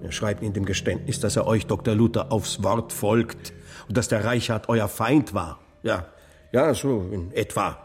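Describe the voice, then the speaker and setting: A man's voice: fairly low pitch, a little slow, in a large hall, soft background music. An actor playing a grieving, anxious townsman in a historical German radio drama, sombre and urgent.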